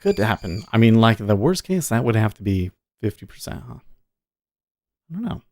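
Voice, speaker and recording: Low, gravelly man thinking aloud. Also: sing-song, moderate pace, a little mellow, no echo. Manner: thinks aloud with fillers, mutters to himself. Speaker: day trading livestream host. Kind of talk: casual livestream commentary.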